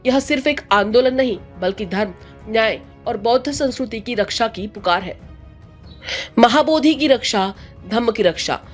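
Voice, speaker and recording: A woman's voice: on the high side, lively intonation, moderate pace. A woman reading a scripted news report voice-over. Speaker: news narrator. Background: music.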